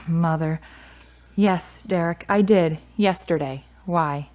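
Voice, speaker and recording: A woman's voice: fairly low pitch, slow, a little mellow. A woman playing a grieving, independent and prickly adult daughter in a radio drama, speaking in a curt, guarded way. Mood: tense, guarded.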